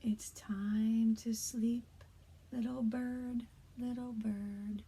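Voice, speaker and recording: A woman in her thirties, a kindergarten teacher, reading a bedtime picture book aloud to young children in a soft, hushed voice that often drops to a whisper. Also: fairly high pitch, level tone, drawn-out words, slightly rough. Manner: gentle and encouraging to young children.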